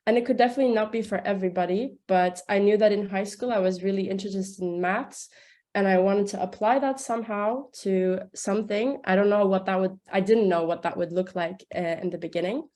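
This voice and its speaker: A woman's voice: medium pitch, fairly even intonation, brisk, warm tone. A young woman from Turkey, a second-year student, talking casually and chattily about her studies, with many "like"s. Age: young adult. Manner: chatty, uses "like" a lot.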